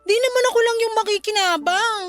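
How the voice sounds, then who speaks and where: Envious, defensive grown child, played by a woman: extremely high voice, plaintive questioning, radio-drama style over music. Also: a little fast, fairly bright tone. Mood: uneasy, pleading. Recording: music bed under the voice.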